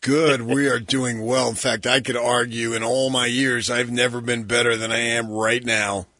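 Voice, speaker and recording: A man co-hosting a sports radio show, teasing the guest in a deadpan way. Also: medium pitch, moderate pace, no room sound, clean studio sound. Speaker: radio co-host.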